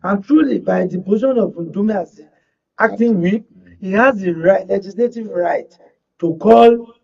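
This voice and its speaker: A man's voice: sits high, moderate pace, gravelly. A Nigerian man giving political analysis on a panel in a formal, wordy way, fond of proverbial turns of phrase. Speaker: panel guest, media analyst. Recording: distant mic, dull lo-fi sound.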